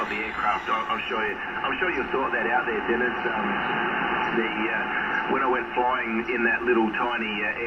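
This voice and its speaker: An Australian man chatting casually and in a friendly, easygoing way as an amateur operator over shortwave radio. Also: mid-range voice. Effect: shortwave radio transmission. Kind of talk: casual ham radio chat. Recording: very noisy muffled shortwave radio signal, distant.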